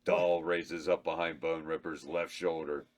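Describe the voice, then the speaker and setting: A man with a very low voice reads out stage directions at a script table read. He keeps a matter-of-fact tone, even through the bawdy parts. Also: a little slow. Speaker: narrator reading stage directions. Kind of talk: reading script directions aloud.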